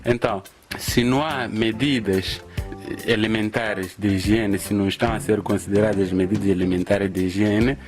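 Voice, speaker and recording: Man, low pitch, unscripted explanation, faint music behind. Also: fairly even intonation, moderate pace. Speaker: interviewee. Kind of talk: spontaneous explanation.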